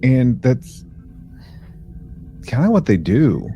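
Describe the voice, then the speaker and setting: A man co-hosting a casual podcast, speaking in a halting, folksy, agreeable way. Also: mid-range voice, wildly swooping pitch, warm tone, faint music behind. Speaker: podcast co-host. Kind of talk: casual chat.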